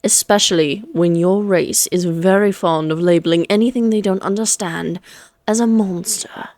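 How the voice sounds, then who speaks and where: A woman's voice: mid-range voice, fairly even intonation, moderate pace, darkish tone, no echo, natural voice. A woman playing an elegant, condescending female vampire in a Victorian fantasy audio drama, speaking in a poised, archly formal, teasing manner. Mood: stern, pointed. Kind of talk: scripted roleplay audio drama monologue.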